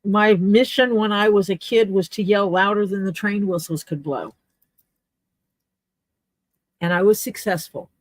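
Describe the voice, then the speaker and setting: A woman talks through her leatherwork on a livestream, chatty and unhurried as she explains each tool. Her voice sits at an ordinary middle pitch. The sound is dry and close, with no room echo. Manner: chatty, explains tools step by step while working. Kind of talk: casual livestream chat while crafting. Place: home workspace.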